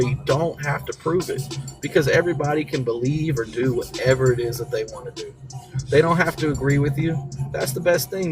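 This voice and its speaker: An American man from the South hosts a casual motivational livestream, talking in a slangy, encouraging way and repeating key phrases for emphasis. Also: on the high side. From southern United States (Mississippi and Florida). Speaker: talk show host. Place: in a vehicle during a commute.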